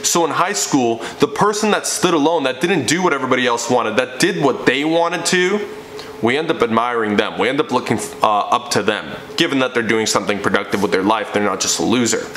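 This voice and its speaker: A man's voice: mid-range voice, expressive, a little fast, a bit bright, a little hiss or hum. A young man and fitness coach telling his personal story to the camera from a living room, emphatic and preachy. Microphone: camera in front, seated framing. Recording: echoey room with faint ambience.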